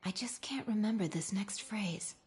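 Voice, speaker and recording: A woman's voice: medium pitch, moderate pace, natural voice. An actress playing a thoughtful young woman pilot in casual video game dialogue. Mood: pensive, guarded.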